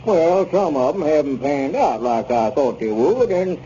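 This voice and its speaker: Wheedling Southern squire, swooping pitch. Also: male voice, very animated, a little slow, dark tone. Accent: rural Southern American. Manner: salesmanlike, folksy. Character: wheedling, puffed-up small-town swindler squire. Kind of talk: 1930s radio comedy serial acting. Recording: muffled 1930s radio recording, faint noise.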